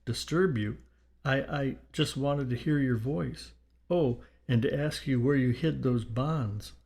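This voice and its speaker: A man's voice: medium pitch, takes it slowly, creaky, darkish tone. A man voicing an impatient, money-hungry widow in an audiobook, in a demanding, pushy way. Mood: flustered.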